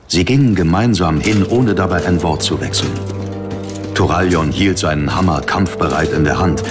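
A man reading scripted dramatic narration for a fantasy audiobook. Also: deep voice.

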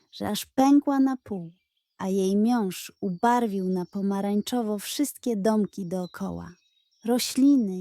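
A woman narrating a scripted children's audiobook in a gentle, expressive storytelling manner. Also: medium pitch, dry recording, clean studio sound.

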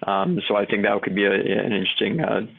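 A man in his thirties hosting an online company session from his office, speaking haltingly with many fillers. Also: lowish voice, steady tone, creaky, husky. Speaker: host. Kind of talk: meeting introduction. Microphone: video call. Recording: tinny phone-like call audio.

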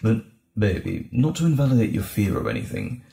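A man playing a gentle, caring boyfriend in an intimate audio roleplay, speaking soothingly and tenderly with light teasing and a halting delivery. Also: on the low side, wildly swooping pitch, vocal fry, roomy sound, heavily acted. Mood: gentle, understanding. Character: gentle, caring boyfriend comforting frightened partner.

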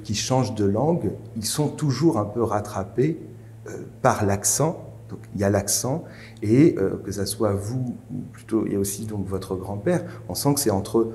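Casting: male interviewer, thirties, lowish mellow voice, thoughtful, builds literary questions in careful, hesitant steps. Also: a little hiss or hum. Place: large high-ceilinged indoor salon, seated.

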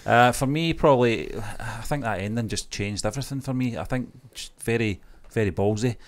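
A middle-aged man co-hosting a casual review chat, speaking in a halting, hesitant way into a close microphone. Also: medium pitch. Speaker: YouTube reaction show co-host. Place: small indoor home studio. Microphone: close microphone on boom arm.

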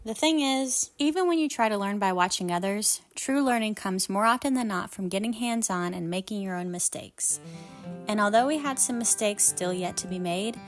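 A woman's voice: medium pitch, normal speed. A woman narrating a home renovation vlog in a scripted voice-over, upbeat and storytelling. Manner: upbeat, storytelling, light self-deprecating humor. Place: voice-over, not recorded on site. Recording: music under the voice-over.

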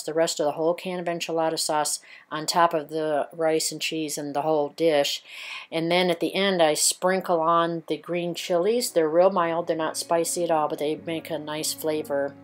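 A middle-aged woman chatting casually in a van vlog, rambling with fillers and soft laughs as she walks through a recipe. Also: deep voice, little pitch movement, moderate pace. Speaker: vlogger. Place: inside a parked passenger van.

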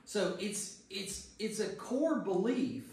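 A man's voice: high voice, very animated, slow pace, a little mellow. An American man, a pastor leading an informal Bible study, speaking emphatically and repeating phrases for stress. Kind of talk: informal teaching with group discussion. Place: indoor church meeting room. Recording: echoey room, distant mic, faint noise.

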